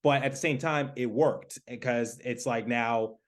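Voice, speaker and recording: Host: man from Michigan, sports podcaster and play-by-play caller, mid-pitched, halting delivery. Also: fairly even intonation. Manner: halting, many fillers. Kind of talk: casual interview chat.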